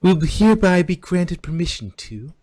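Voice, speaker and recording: A man's voice: high voice, very animated, normal speed, darkish tone. A man voicing a stressed, irritable young female pony princess in an amateur audio drama, with emphatic exasperation. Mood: busy.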